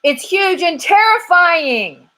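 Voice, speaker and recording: A woman voicing a twitchy, timid male squirrel who is scared of everything, with drawn-out, quavering cries. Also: high-pitched, sing-song, fairly bright tone, pitched above the performer's own voice, heavily acted.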